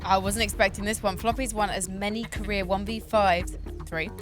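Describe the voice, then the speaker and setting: A woman's voice: medium pitch, fairly even intonation, moderate pace, over music. A woman chatting casually as a commentator on an esports broadcast.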